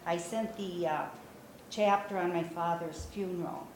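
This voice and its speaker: A woman in her sixties from Brazil, a native Portuguese speaker, giving an informal talk about writing her memoir to a small group, conversational with many "uh" pauses. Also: on the low side, steady tone, a little mellow. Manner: conversational, frequent "uh" pauses, gestures while speaking. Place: small meeting room, indoors. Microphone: far camera. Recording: roomy echo, faint room noise.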